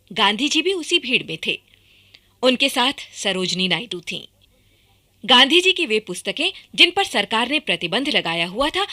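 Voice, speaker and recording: A woman's voice: fairly high pitch, pushed and bright. A woman narrating a scripted historical radio drama in a formal, emphatic way.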